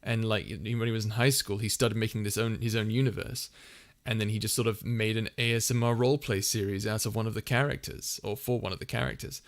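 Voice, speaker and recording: A man hosting a casual livestream Q&A. His voice is soft and soothing, and he rambles through his answers with lots of fillers and restarts. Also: on the low side, steady tone, fairly quick. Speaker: ASMR channel host answering viewer questions.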